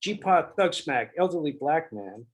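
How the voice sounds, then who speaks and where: Man, writer-director, slightly high voice, casual, reads stage directions. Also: sing-song, a little slow. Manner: loose, rambling instructions.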